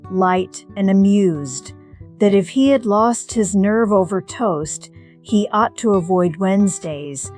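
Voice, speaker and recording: A woman playing a middle-aged retired firefighter, narrating a scripted first-person story in a measured, reflective, wry way. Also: fairly low pitch, darkish tone. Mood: wry, tender.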